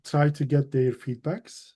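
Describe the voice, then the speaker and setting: A man's voice: on the high side, level tone, a little slow, dark tone, breathy edge. A man hosting a casual interview, speaking English as a second language in broken, encouraging phrases. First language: not English. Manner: encouraging, broken phrasing, frequent "you know". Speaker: podcast host.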